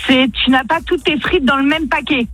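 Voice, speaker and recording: A woman's voice: high-pitched, moderate pace, a bit bright, no echo, faint music behind. A woman from northern France calling into a radio show, cheerful and chatty. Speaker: caller. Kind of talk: phone-in chat.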